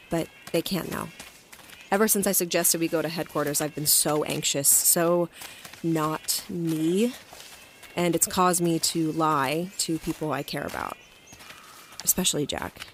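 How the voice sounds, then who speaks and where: A woman's voice: deep voice, a little hiss or hum. An actress playing a guarded, anxious woman field agent who narrates her story in an audio drama. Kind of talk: audio drama acting with first-person narration. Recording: music under the voice.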